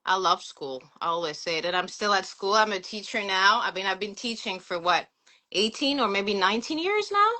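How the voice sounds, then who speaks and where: French woman in her forties, bright tone, relaxed chatty interview guest. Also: mid-range voice, moderate pace. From France. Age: 40s. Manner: relaxed and chatty, many fillers. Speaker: guest, sneaker collector and teacher. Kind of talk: casual interview.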